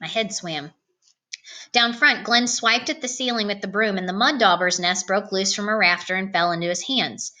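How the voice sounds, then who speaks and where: Female storybook reader, mid-pitched and bright, expressive narrative delivery. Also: moderate pace. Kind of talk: expressive read-aloud narration of a novel.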